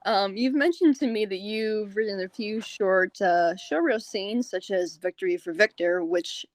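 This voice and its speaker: A woman's voice: medium pitch, measured pace, dry recording. A woman hosting a casual interview, informal and encouraging with her guest. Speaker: podcast host and interviewer.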